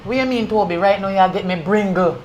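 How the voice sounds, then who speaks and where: A woman's voice: medium pitch, a little fast, a little mellow, muffled recording, close to an everyday voice. A woman with a Jamaican accent playing a jealous, hot-tempered young woman who barks curt orders in patois. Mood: threatening. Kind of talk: low-budget patois drama.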